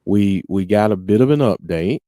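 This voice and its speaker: Deep, dark-voiced man hosting a podcast. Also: expressive, normal speed, dry recording, clean studio sound. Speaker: podcast host. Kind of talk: casual chat.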